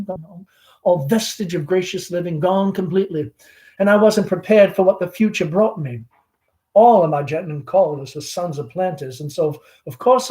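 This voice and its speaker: A man's voice: high voice, darkish tone, lightly acted. A man voicing a chatty middle-aged Southern belle mother, genteel and fretful, with a gushing, rambling, theatrical manner, in a stage play reading. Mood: wistful, nostalgic.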